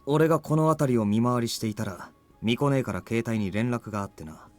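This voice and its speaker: A man's voice: medium pitch, very fast, faint music behind, close to an everyday voice. A man playing a blunt, protective young fighter in a Japanese visual novel, speaking in a curt, cool manner. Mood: cool, terse. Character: gruff young man, blunt, terse but protective ally.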